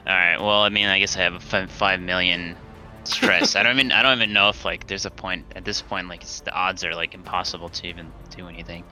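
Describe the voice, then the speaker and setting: A man playing a tabletop game, chatting casually in a halting, hesitant way. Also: sits low, fairly even intonation, sharp tone, poor audio. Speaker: player. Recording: music behind the voice.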